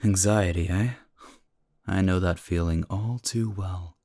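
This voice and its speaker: A man voicing an earnest, caring, easily exasperated young protagonist in an anime-style audio drama, slightly husky. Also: low voice, fairly even intonation, measured pace, mellow, no room sound, clean studio sound. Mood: gentle, supportive.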